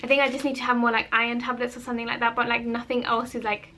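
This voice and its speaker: High-pitched young woman, chatting casually. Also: little pitch movement, racing, sharp tone. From born in Holland, of Bangladeshi family. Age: 20s. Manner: informal, rambling, self-interrupting. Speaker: vlogger. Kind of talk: casual chatty personal vlog.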